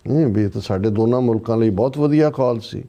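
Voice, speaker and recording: Mellow Punjabi man giving sardonic political commentary. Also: mid-range voice, fast. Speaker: political commentator on a talk show.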